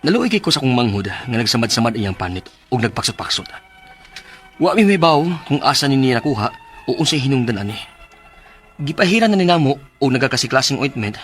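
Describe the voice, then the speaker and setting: An actor with a Cebuano Filipino accent playing a poor, caring, worried older brother in an earnest, plaintive radio drama style. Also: male voice, medium pitch, very animated, brisk, pauses often, fairly bright tone, faint music behind. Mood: sorrowful narration.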